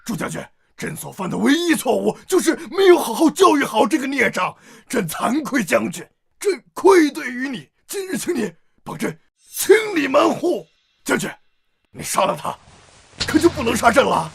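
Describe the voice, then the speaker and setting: An actor playing a scheming, cowardly old emperor in a period drama, pompous, blustering and theatrical. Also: male voice, very high-pitched, sing-song, normal speed, creaky, fairly bright tone, slightly rough, exaggerated voice. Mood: pleading, self-serving.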